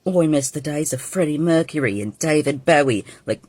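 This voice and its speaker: A man's voice: fairly high pitch, expressive, fairly quick, a little mellow, no room sound. A man with an Irish accent ranting in an exasperated way in a social media clip.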